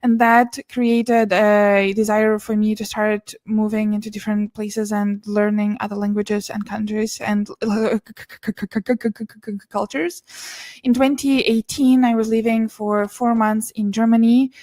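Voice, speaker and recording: A woman's voice: medium pitch, little pitch movement, takes it slowly, darkish tone, no room sound, studio quality. A young adult woman from Russia who speaks English as a second language, talking earnestly as a podcast guest with frequent restarts and small laughs. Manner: earnest, many restarts and laughs between words. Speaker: podcast guest, business and mindset mentor. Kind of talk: casual interview conversation.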